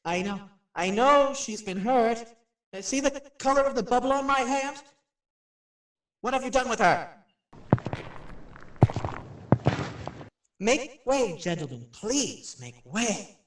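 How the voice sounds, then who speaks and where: An actor in a radio play, playing a frightened, hysterical radio personality who rambles excitably at unseen figures. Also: male voice, very high voice, unhurried, distant mic, background noise. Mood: lonely, anxious. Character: frightened, hysterical male radio personality unravelling in a haunted house. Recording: roomy echo, away from the microphone.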